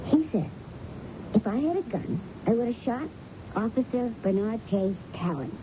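Jittery waitress, highish voice, hesitant n unsure, old radio drama, noisy muffled sound. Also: female voice, very animated, moderate pace. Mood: agitated, hesitant. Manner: hesitant, second-guessing. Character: nervous, doubtful waitress reporting to police. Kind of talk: 1970s radio drama acting.

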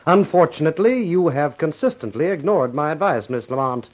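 An actor playing a stuffy, indignant general manager in a 1950s radio drama, formal and disapproving. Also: male voice, a little high, lively intonation, brisk. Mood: coldly pompous.

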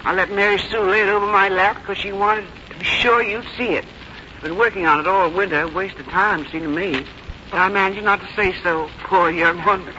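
A woman's voice: medium pitch, moderate pace, natural voice. An actress playing a stubborn, plain-spoken elderly American mother with a blunt, folksy, complaining manner in a 1950s radio drama. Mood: grumbling, stubborn. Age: older. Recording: noisy, muffled 1951 radio recording.

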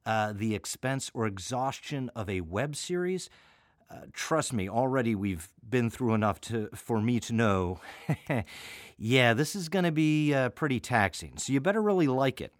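A man's voice: medium pitch, expressive, a little slow, no echo, clean studio sound. A middle-aged American man hosting a radio introduction in a chatty, wry, digressive conversational way. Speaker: artistic director of an audio theater, host.

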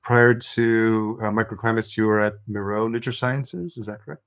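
A man's voice: lowish voice, steady tone, normal speed, a bit bright, dry recording, studio quality. A man hosting a podcast, asking casual questions with many fillers. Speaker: podcast host. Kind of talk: interview questions.

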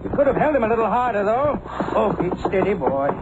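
A man's voice: high-pitched, very animated, brisk, highs cut off. An actor playing a fussy, devoted father who trains racehorses, talking in clipped folksy phrases in a 1950s radio drama. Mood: fretting. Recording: noisy, band-limited old radio transcription.

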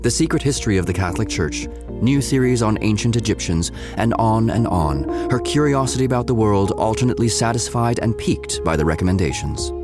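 A man reading scripted narration for an audio drama in a measured, literary manner. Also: on the low side. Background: music.

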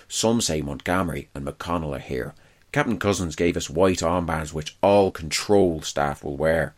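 A man reading a first-person wartime diary aloud as scripted narration. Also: deep voice.

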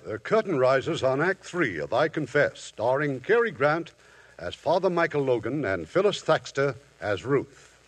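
A man hosting and narrating a 1950s radio drama in a smooth, formal manner. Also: medium pitch, very animated, a little slow, loud music behind the voice. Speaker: host and narrator.

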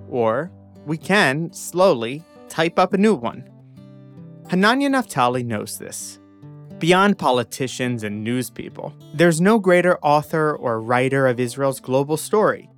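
A young man hosting a podcast, giving a measured, reflective narration and then interviewing a guest. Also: on the high side. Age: young adult. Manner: reflective, measured delivery with dramatic pauses. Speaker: podcast host.

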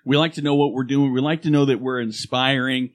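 A man's voice: mid-range voice, fairly even intonation, fairly quick. A man with an Oklahoma accent co-hosting a casual podcast, chatty and playful, given to slipping into other voices. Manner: playful, slips into put-on accents. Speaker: podcast co-host.

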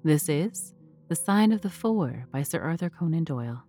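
A woman with a fairly low voice hosts and narrates a fiction podcast. Her delivery is scripted storytelling, with faint music playing behind her. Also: animated, moderate pace, warm tone.

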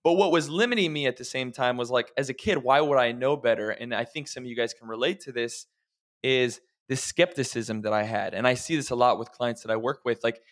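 A man's voice: medium pitch, fairly bright tone. A man who works as a health coach, chatting casually and reflectively on a podcast while encouraging his listeners.

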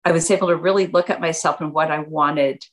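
A woman in her fifties, a guest chatting casually in an interview, animated and acting out what her friends said. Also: fairly quick. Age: 50s. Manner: animated, acts out quoted friends in a higher voice. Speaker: guest entrepreneur.

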